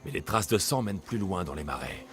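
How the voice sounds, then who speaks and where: An actor playing a determined, protective ancient Greek mercenary in a video game. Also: male voice, low voice, lively intonation, brisk, over music. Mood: grim, appalled.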